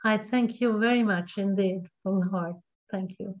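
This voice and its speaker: A woman presenting a workshop, guiding the group in a slow, gentle cadence over a video call. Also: mid-range voice. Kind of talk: guided meditation and group talk. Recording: tinny call audio.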